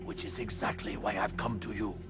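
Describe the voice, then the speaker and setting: A man's voice: fairly low pitch, fairly even intonation, brisk, over loud music, lightly acted. An actor playing a stern, persuasive male conspirator in a video game, terse and commanding. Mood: urgent, persuasive. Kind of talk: video game cutscene dialogue.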